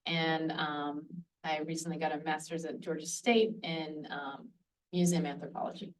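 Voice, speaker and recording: A woman's voice: low-pitched, fairly even intonation, normal speed, recorded from a distance, poor audio. A woman who does events and art curation, introducing herself in a matter-of-fact way with some hesitation. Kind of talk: casual self-introduction.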